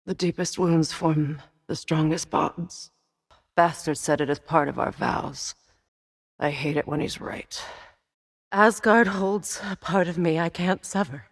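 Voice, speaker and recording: A woman's voice: low voice, a little slow, no room sound, clean studio sound. A woman acting a proud, grieving warrior goddess and sorceress in a video game, with a grave, intense, commanding manner. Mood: weary, sorrowful, then bitter, intense.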